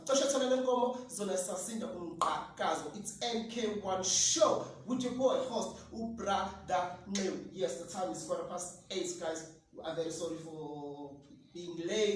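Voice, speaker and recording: An energetic South African man with a Zulu-speaking accent hosts a lively online talk show. His voice is hypey and upbeat. It is heard from a little way off in a very echoey room with light noise underneath. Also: sits high, recorded from a distance. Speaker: host.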